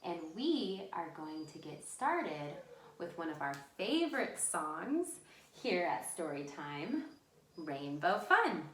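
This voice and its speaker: A woman's voice: lowish voice, very animated, slow, slight background noise. A woman hosting an interactive storytime for young children, encouraging them and giving simple instructions. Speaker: children's library storytime host. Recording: echoey room sound.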